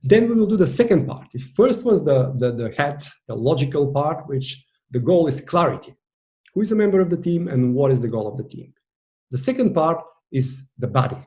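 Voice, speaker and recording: A man with a Bulgarian accent, a native Bulgarian speaker, presenting an online masterclass as a team coach in an earnest, deliberate way, leaning on key words. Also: on the high side, sing-song, mellow.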